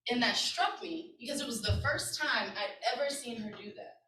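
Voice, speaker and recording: A woman in her thirties with a bright, fairly high voice tells a personal story as a workshop presenter. She speaks earnestly and conversationally and keeps checking in with the room. The sound is roomy and picked up from a distance. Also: lively intonation, normal speed. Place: conference room with an audience. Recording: echoey room, distant mic.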